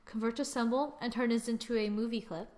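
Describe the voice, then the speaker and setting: A young woman with a fairly high voice walks through a screen-recorded software lesson. She chats casually and thinks aloud as she works, teasing her own results. It sounds like a computer microphone at a desk. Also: fairly even intonation, brisk. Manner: chatty, self-mocking, thinks aloud while clicking. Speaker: software tutorial presenter.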